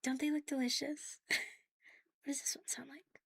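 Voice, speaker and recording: A woman chatting casually to viewers on an ASMR livestream, soft and breathy with a playful, cutesy manner, often dropping to a whisper close to the mic. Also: sits high, flat delivery, normal speed, a little hiss or hum. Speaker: ASMR live streamer hosting in a virtual world. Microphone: close, sensitive streaming microphone.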